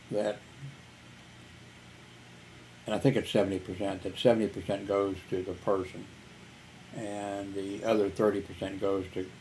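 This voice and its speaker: A man's voice: lowish voice, mellow, slight background noise, rough recording. A man telling personal stories in a rambling vlog, filling gaps with "you know" and chuckling. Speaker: YouTuber. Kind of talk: casual storytelling vlog.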